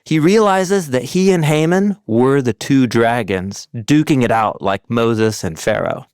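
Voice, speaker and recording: A man narrating an educational explainer from a script, explaining clearly in a teacherly way with informal touches. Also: medium pitch, unhurried, a little mellow, no room sound, clean studio sound. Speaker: narrator of an animated Bible overview. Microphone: close studio voice-over mic, dry.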